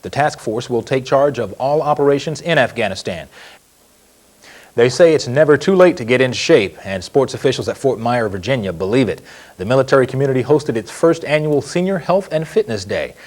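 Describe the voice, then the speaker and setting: Male news anchor, mid-pitched voice. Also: lively intonation, a little fast, a little mellow. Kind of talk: scripted news presenting.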